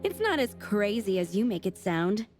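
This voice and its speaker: A woman's voice: mid-range voice, sing-song, moderate pace, a little mellow, faint music behind, natural voice. A woman playing a haughty, coolly polite envoy in a video game, with a drawling, formal manner. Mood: smug.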